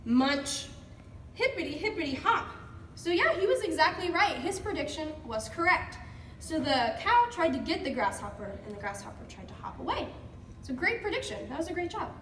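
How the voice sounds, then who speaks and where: A woman's voice: high voice, sing-song, normal speed, fairly bright tone. A woman teacher leading an interactive picture-book read-aloud for children, encouraging and prompting with questions. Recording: echoey room, distant mic, background noise.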